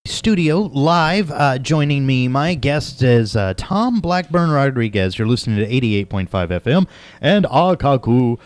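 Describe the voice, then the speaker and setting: A man's voice: mid-range voice, lively intonation, unhurried, dry recording. A man hosting a casual live radio interview, speaking informally and emphatically into a studio microphone. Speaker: radio host. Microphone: close dry studio microphone.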